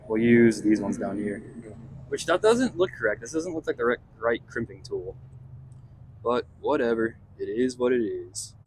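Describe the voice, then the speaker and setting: A man's voice: mid-range voice, lively intonation, normal speed, a little mellow, a little hiss or hum, low-quality recording. A man casually narrating his hands-on car install as a vlog host, thinking aloud in a laid-back, slangy way. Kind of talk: casual narration while working on a vehicle. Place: in and around a pickup truck.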